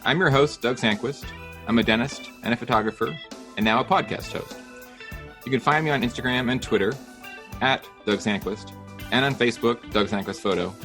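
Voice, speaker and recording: A middle-aged man hosting a casual interview podcast, upbeat and quick with his questions, laughing easily. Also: medium pitch, bright, forceful tone, faint music behind. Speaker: podcast host.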